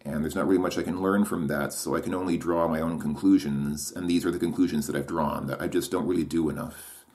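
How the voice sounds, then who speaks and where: A man with a deep voice thinking aloud in a solo monologue. He hesitates, restarts his sentences and questions himself as he goes. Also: fairly even intonation, quick pace, darkish tone.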